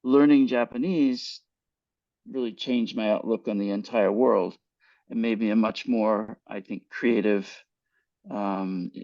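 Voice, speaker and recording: A man's voice: on the low side, dark tone, no echo. Older man answering on a video call. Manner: thoughtful, measured, with frequent restarts and fillers. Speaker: guest speaker. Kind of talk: answering questions in a webinar. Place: home room with virtual background. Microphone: headset on video call. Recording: dull, dry video call audio.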